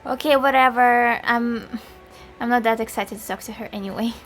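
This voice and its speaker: A woman's voice: a little high, steady tone, normal speed, a bit bright, soft background music. A young woman streamer giving casual, chatty commentary while playing a game, laughing often.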